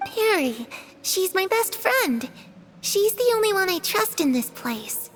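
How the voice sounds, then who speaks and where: A frail, eerie little girl in a game, speaking high and very slowly. She hesitates and trails off as if afraid of being overheard. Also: sing-song, very noisy, full character voice. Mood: timid. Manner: slow, hesitant, drifting into whispers. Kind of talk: video game dub acting.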